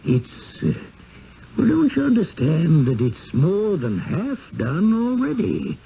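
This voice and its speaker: An actor playing a courteous, eerie village official who patiently coaxes newcomers, in 1970s radio drama style. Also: male voice, high-pitched, wildly swooping pitch, takes it slowly, vocal fry, mellow, exaggerated voice. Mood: insistent, pleased. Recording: dull, hissy old radio broadcast.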